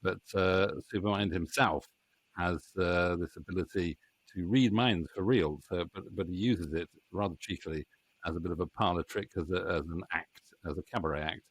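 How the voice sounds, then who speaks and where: A middle-aged man hosting a casual interview in a jokey, halting way. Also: deep voice, a little hiss or hum. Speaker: interviewer and voice actor. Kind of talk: casual chat. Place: small home room. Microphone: studio microphone on a boom arm.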